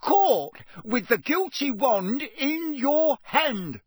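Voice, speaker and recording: A man's voice: sits high, sing-song, normal speed, fairly bright tone, dry recording, studio quality, pitched above the performer's own voice, heavily acted. A man voicing a blustering middle-aged wizard official who barks accusations. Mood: accusing. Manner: barking, accusing.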